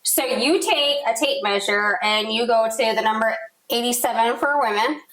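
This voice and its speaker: A woman's voice: fairly high pitch, fairly bright tone. Chatty forty-something woman, echoey room. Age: 40s. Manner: quick, chatty, finishes others' sentences. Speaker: financial advisor, podcast co-host. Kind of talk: casual podcast discussion.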